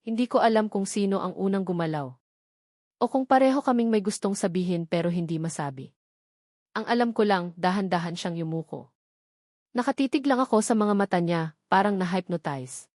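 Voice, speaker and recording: A woman's voice: mid-range voice, brisk, a little mellow, dry recording. Filipino woman narrating romance, dry close sound. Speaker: story narrator. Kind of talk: first-person dramatic romance narration.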